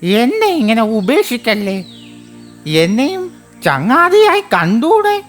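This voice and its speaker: A woman's voice: on the high side, sing-song, takes it slowly, faint music behind. A woman voicing a small, friendly male frog in a children's cartoon, earnest, anxious and pleading. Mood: hurt, pleading. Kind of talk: children's cartoon story voice acting.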